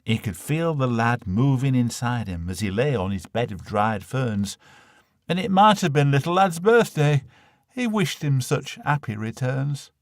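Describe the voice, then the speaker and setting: A man with a Northern English accent recites a comic monologue in verse. His delivery is highly animated, moving freely up and down around a middling pitch. The sound is dry, with very little room to it. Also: dry recording. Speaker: poetry reader.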